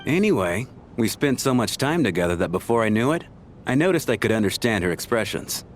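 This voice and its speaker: A man's voice: on the low side, big pitch swings, brisk, slight background noise. A man voicing a genial, easygoing companion who talks in a breezy, reassuring way. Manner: genial, breezy, calls her lass.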